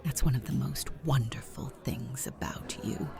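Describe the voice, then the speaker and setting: A woman acts out a sincere, jealous and flirtatious aunt for a visual novel game. Her voice is soft, dark and mellow, close and breathy in delivery. Faint music plays behind her. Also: rumbling low, moderate pace, in a whisper, heavily acted. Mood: tender, admiring.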